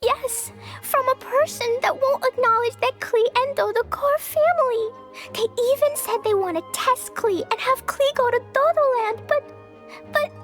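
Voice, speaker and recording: A woman voicing a small, earnest, naive and excitable girl in a video game cutscene, talking in a childlike way. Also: very high voice, normal speed. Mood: worried, upset. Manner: childlike, speaks of self in third person. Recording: music behind the voice.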